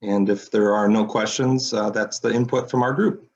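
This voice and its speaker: A man with a deep voice giving a brisk citizens council report at a city meeting. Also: fairly even intonation, darkish tone, lo-fi sound, a little hiss or hum.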